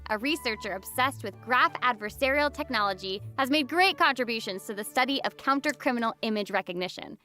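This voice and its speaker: A woman with a high, bright, forceful voice presents game news from a script. She is playful and enthusiastic, swooping widely in pitch, with light music underneath. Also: animated, brisk, few pauses. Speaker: presenter of a video game update program. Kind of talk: upbeat scripted co-hosted presentation. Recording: music behind the voice. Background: background music.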